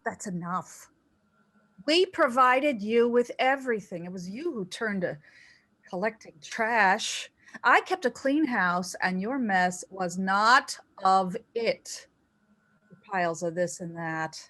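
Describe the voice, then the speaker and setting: An actress in a staged play reading, playing a reproachful dead mother who loves golf, speaking deliberately. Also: mid-range voice, expressive, fairly bright tone. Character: narrator's dead mother, scolding golf-loving elderly housewife ghost.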